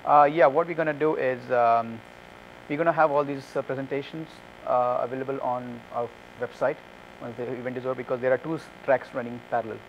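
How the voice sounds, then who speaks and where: Male speaker, 30s, rapid and halting, Q&A after a talk, light hum. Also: medium pitch, fairly even intonation, lo-fi sound. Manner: fast, hesitant, many fillers and restarts. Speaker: conference speaker. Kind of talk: answering audience questions. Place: conference room with curtains. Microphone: lapel mic.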